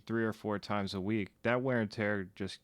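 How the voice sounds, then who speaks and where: A man's voice: fairly low pitch, steady tone, normal speed, clean tone, dry recording. Easygoing joking man guest. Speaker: guest songwriter. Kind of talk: casual chat.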